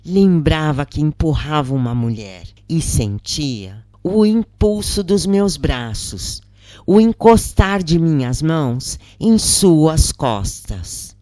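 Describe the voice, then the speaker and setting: A Brazilian narrator reads a dramatic first-person story at a very slow, measured pace. The voice sits at a middle pitch and is recorded close and dry, with no room sound. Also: big pitch swings, mellow, no room sound. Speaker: narrator reading a spiritual story.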